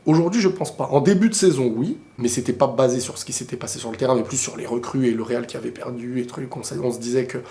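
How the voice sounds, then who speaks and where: A man with a cold and a sore throat, talking casually and excitably about football to his viewers, often rambling into quick asides. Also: mid-range voice, vocal fry. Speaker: football video creator answering viewer questions. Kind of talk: casual opinionated football talk to camera.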